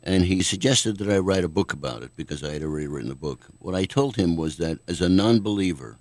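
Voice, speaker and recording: An older man, a guest author, answering questions in a measured, thoughtful way on a radio talk show. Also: sits low, animated, darkish tone, no echo. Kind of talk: radio interview.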